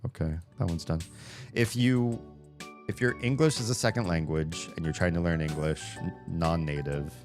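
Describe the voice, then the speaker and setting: A man from the Southern United States with a Southern accent, chatting casually on a livestream while gaming, rambling and wry. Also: sits low. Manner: rambling, self-interrupting, wry. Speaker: streamer. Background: background music.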